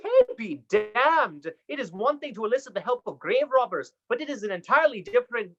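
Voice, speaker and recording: A woman's voice: fairly high pitch, sing-song, brisk, a bit bright, full character voice. A woman acting in a radio play as a principled, distressed young male medical apprentice, speaking earnestly and formally, on dull lo-fi audio. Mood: indignant, distressed. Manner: earnest, formal, dramatic delivery.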